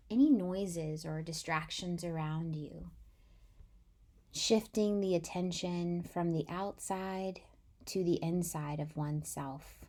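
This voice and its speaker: A woman guiding a body-scan meditation in a gentle, soothing, unhurried way, softly breathy as phrases trail off. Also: lowish voice. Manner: gentle, unhurried, soothing delivery with long pauses. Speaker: meditation guide.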